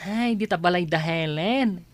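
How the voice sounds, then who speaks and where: An actress playing a chatty, teasing village neighbor in an Ilocano radio drama. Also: female voice, on the low side, a little slow, natural voice.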